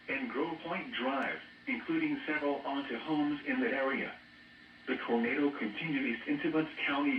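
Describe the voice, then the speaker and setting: A man's voice: medium pitch, reverberant. Robotic american guy reading weather bulletins on the radio, distant and noisy, synthetic announcer voice. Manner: flat, mechanical synthetic delivery, reading out codes and figures. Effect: weather radio broadcast. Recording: muffled, noisy weather radio picked up off a speaker in a room.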